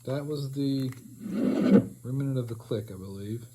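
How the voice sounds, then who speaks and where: Mellow-voiced man muttering through a hands-on demo. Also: medium pitch, slight background noise, rough recording. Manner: rambling, halting, muttering to himself. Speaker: hobbyist demonstrating tape editing. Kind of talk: casual hands-on tutorial, thinking aloud.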